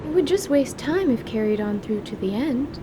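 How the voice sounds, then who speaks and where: A woman's voice: fairly high pitch, animated, noisy background, close to an everyday voice. A woman voicing a polite, earnest female surgeon in radio theatre, speaking formally and carefully. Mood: thoughtful, prim. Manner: formal, measured, careful wording. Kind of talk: radio theatre character acting.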